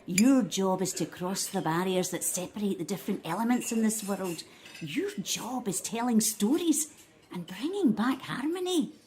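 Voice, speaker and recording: Woman acting serene old aunt, audio drama, soft mellow tone, lively swooping intonation, knowing. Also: medium pitch, moderate pace, close to an everyday voice. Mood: kindly, mystical.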